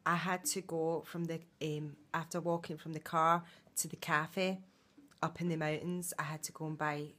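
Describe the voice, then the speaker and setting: A woman's voice: deep voice, little pitch movement, moderate pace, a bit bright. A woman, chatting casually into a phone held at arm's length for a holiday vlog, rambling with lots of fillers. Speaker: vlogger.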